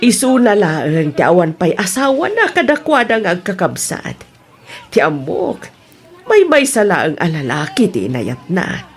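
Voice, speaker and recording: A woman playing a teasing, gossipy aunt in an Ilocano audio drama. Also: fairly low pitch. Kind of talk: Ilocano radio-style drama acting.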